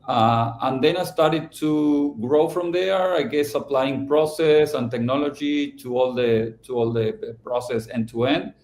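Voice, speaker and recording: A middle-aged man from Argentina, answering podcast interview questions about his company, halting and restarting often. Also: mid-range voice, darkish tone, roomy sound, a little hiss or hum. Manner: halting, with many restarts and fillers. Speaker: company founder and CEO.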